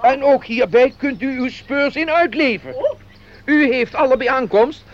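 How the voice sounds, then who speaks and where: A man's voice: extremely high pitch, unhurried. A man acting a fussy, jolly outing organiser in a Dutch radio play, talking like a formal announcer with chuckles. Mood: jovial, officious. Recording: dull radio play recording, busy group behind.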